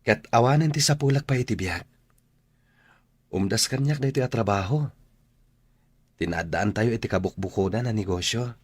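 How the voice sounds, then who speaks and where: Young ilocano man in radio drama, deep husky voice, earnest and sweet, talks sincerely. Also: moderate pace, pauses often, no room sound. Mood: earnest, encouraging. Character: earnest young man, hopeful friend and tender suitor.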